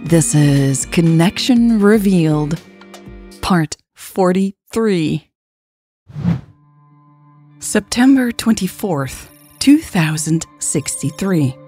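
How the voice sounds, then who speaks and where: A woman's voice: on the low side, lively intonation, very slow, long pauses, warm tone, slightly rough, faint music behind. A woman hosting a podcast and reading scripted fiction as its narrator. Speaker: podcast host and author narrating her story.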